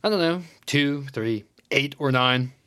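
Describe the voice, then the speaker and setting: A man playing a smug, easygoing high school teacher in a naturalistic audio drama, casual and self-satisfied. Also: a little slow, bright, forceful tone, clean tone.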